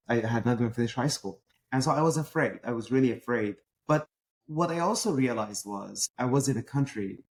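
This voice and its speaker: Man, middle-aged, Persian accent, emphatic, mid-pitched voice, faint background hum. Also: a little mellow. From Iran. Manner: emphatic, rhetorical. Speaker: guest. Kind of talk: podcast interview.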